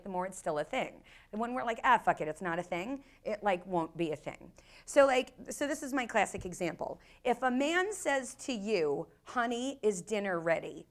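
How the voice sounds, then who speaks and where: Blunt, animated American woman. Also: mid-range voice. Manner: blunt, profane, emphatic. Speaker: improv teacher, guest. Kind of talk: casual podcast interview.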